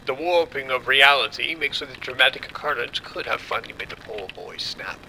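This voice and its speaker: An actor playing a cold, commanding doctor in a horror audio drama, speaking gravely and deliberately as he manages a cover-up. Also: mid-range voice, lively intonation, bright, forceful tone, a little hiss or hum, full character voice, poor audio. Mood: controlled, cold. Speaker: lead scientist.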